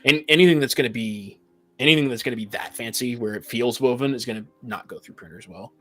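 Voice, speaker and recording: A man's voice: medium pitch, quick pace, pushed and bright, soft background music. A man co-hosting a casual group podcast chat, explaining and giving advice with dry teasing. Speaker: podcast co-host.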